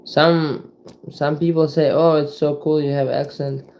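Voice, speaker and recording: A young man from Ukraine with a Ukrainian accent, chatting casually to viewers on a live stream, rambling with halting restarts and lots of "you know". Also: on the high side, flat delivery, vocal fry, darkish tone, slight background noise. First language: Ukrainian and Russian.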